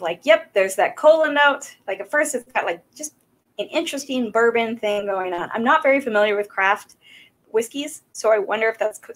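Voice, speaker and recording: A woman's voice: mid-range voice, low-quality recording. A woman in her thirties from South Carolina, hosting a casual whiskey tasting livestream from a small room at home, rambling and chatty with lots of fillers. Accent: Southern American. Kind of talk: casual live-stream chat. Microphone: desk microphone on a boom arm.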